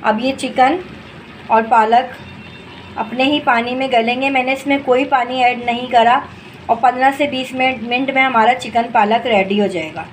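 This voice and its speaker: A woman's voice: fairly high pitch, steady tone, moderate pace. A woman, hosting a home cooking video and explaining each step to her viewers in a homely, instructive way, recorded on a phone over the stove in her kitchen. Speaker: cooking channel host. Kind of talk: home cooking tutorial narration. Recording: noisy with frying sizzle behind. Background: sizzling and stirring in a pot.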